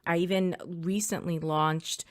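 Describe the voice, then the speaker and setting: A woman's voice: lowish voice, steady tone, a little slow, no echo, clean studio sound. A middle-aged woman, a native Spanish speaker, answering interview questions as an attorney, earnest and thoughtful with small restarts. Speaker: estate planning attorney, guest.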